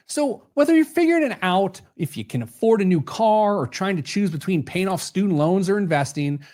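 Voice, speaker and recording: A man presents a scripted solo podcast monologue with a highly varied, swooping intonation. His manner is conversational and wry, with a joking slant to the delivery. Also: darkish tone. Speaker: podcast host. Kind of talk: scripted personal finance monologue.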